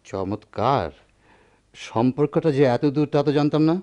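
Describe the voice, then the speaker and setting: An actor playing a proud, wounded village doctor and husband in a declamatory radio drama style. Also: male voice, mid-range voice, expressive, moderate pace, dark tone, close to an everyday voice. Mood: angry, sarcastic. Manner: declamatory, impassioned. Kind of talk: melodramatic radio drama acting.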